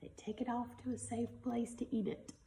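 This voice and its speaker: A woman's voice: on the high side, level tone, a little fast, warm tone, reverberant, slight background noise. A woman reading a picture book aloud to young children like a teacher, expressively and with simple explanatory asides.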